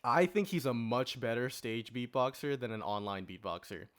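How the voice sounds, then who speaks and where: A man's voice: mid-range voice, moderate pace, a bit bright, clear, resonant tone. Man moderating a casual podcast panel. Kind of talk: casual discussion.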